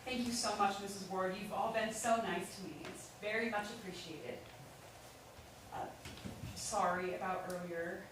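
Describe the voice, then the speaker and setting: A woman's voice: medium pitch, normal speed, heavy reverb, lightly acted. Woman playing nineteen-year-old girl, polite, earnest, hesitant, stage drama, distant mic, faint background noise. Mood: polite, apologetic. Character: polite, earnest nineteen-year-old pharmacy helper, uneasy but honest. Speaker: actor in a staged reading. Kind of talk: stage play reading. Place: indoor staged reading, mic far off.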